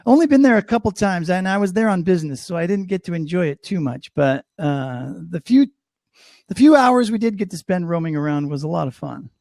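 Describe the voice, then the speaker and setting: A man's voice: high-pitched, animated, fairly quick, a little mellow, dry recording, studio quality. A man hosting a live webinar over a video call, chatty and upbeat with a self-deprecating humor. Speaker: host.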